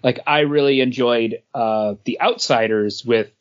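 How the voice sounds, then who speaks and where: Slow-paced man, slightly muffled recording. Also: medium pitch, clear, resonant tone. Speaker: comics podcast co-host. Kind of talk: casual chat reviewing comics. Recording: dull lo-fi sound.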